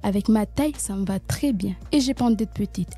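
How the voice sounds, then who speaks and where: Earnest young student, dark-toned. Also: female voice, medium pitch, fairly quick, breathy edge, faint music behind. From Mayotte. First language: Kibushi. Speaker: student presenter. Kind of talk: school podcast presentation.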